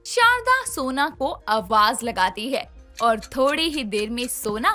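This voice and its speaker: A woman narrating a cartoon story in a scripted, expressive way. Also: a little high, slow pace, faint music behind.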